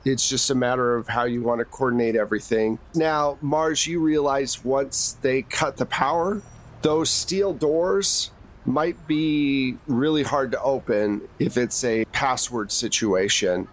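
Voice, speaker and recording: A man's voice: mid-range voice, fairly even intonation, a little slow. A man acting as game master in a tabletop role-playing game, prompting players conversationally with questions and rulings.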